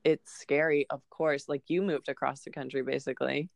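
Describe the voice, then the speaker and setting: A woman's voice: a bass voice, fairly even intonation, normal speed, creaky, dry recording, studio quality. A woman in her early twenties talking casually and openly on a podcast, informal and outspoken, with frequent swearing. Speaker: podcast co-host. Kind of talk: casual, confessional podcast chat.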